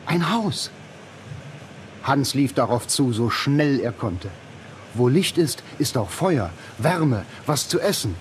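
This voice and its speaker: A man narrating a fairy tale dramatically, with suspenseful, deliberate phrasing. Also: mid-range voice, animated, a little hiss or hum.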